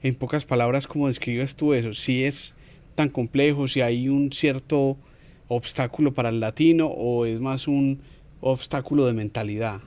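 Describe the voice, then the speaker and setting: A man hosting a podcast interview who asks measured questions and adds short affirmations while his guest talks. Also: medium pitch, fairly even intonation, a little mellow. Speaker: podcast host.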